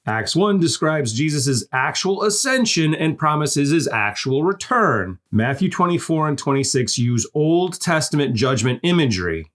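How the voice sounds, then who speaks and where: A man's voice: fairly high pitch, fairly bright tone. A man narrating a scripted lesson in an explanatory, didactic way, posing questions and answering them with emphasis. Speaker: narrator of a Bible prophecy course.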